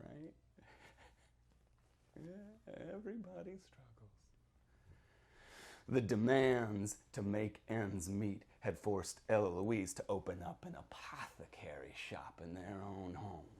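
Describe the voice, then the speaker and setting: A man performing a sly small-town local who tells a witch legend, theatrical and confiding, moving between hushed and declaimed delivery. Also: a little high. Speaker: author reading from own novel. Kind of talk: dramatic live reading of a horror story.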